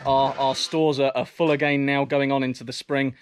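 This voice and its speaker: A man's voice: mid-range voice, flat delivery, moderate pace, slight background noise. A man vlogging from his farm, talking casually to the camera outdoors, often restarting and repeating words as he goes. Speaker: vlogger and smallholder.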